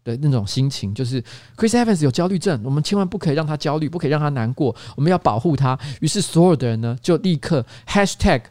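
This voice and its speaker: Male podcast host, Taiwanese accent, very lively pitch, quick and chatty comedic storyteller. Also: no room sound, clean studio sound. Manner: animated storyteller who acts out quoted reactions. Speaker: podcast host and local politician. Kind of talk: casual comedic chat.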